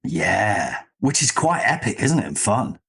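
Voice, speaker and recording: A man's voice: lowish voice, big pitch swings, unhurried, gravelly, fairly bright tone, breathy edge. A man hosting a casual discussion, thinking aloud in a rambling, self-questioning way. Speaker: podcast host. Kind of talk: casual comic-book discussion.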